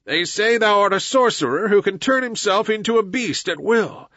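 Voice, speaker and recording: Man as an anguished, high-voiced village mother. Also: animated, moderate pace, pushed and bright, no echo, muffled recording. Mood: anguished pleading. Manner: crying out, pleading. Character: anguished, loving village woman, a devoted mother.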